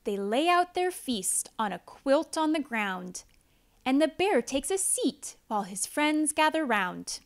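A woman reads a children's story aloud. Her voice sits fairly high and moves in lively swoops. She takes her time, pausing deliberately for drama. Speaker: storybook narrator. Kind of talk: expressive children's read-aloud.

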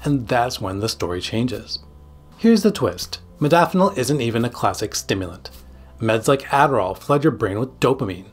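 A man in his forties, a psychiatrist hosting a scripted explainer to camera with emphatic, dramatic phrasing and a slightly husky voice. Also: medium pitch, lively intonation, moderate pace. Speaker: psychiatrist and video host. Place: small home studio room. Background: background music.

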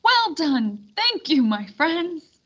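A woman's voice: high-pitched, very animated, very slow, fairly bright tone, lo-fi sound, voice pushed up, heavily acted. A woman voicing a medieval king in a children's storybook. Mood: pleased, grateful. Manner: muttering, grumbling. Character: grumpy birthday king, sour then grateful.

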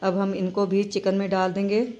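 A woman hosting a home cooking channel, calmly narrating each recipe step and repeating key points. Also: mid-range voice, normal speed, lo-fi sound. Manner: steady, instructive, repeats steps.

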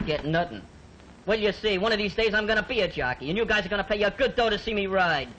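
An actor playing a scrappy, defiant young New York street kid with big dreams, talking tough and slangy in an old film. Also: male voice, sits high, animated, fast, fairly bright tone, dull audio, a little hiss or hum. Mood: determined.

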